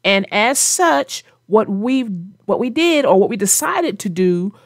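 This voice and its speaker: A woman's voice: medium pitch, sing-song, a little slow, no echo, clean studio sound. A woman hosting a children's read-aloud, narrating a storybook and chatting casually about it.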